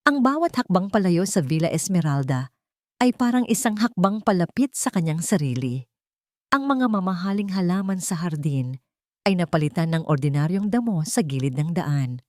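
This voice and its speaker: Female Filipino story narrator, dramatic scripted read, slightly low register, close dry sound. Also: expressive, normal speed, a little mellow, dry recording.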